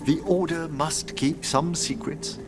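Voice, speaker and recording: An actor playing a refined older necromancer scholar in a video game, gentle and wistful, with formal, eloquent, measured phrasing. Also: male voice, a little high, steady tone, background noise. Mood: wistful, thoughtful. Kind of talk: video game dialogue acting.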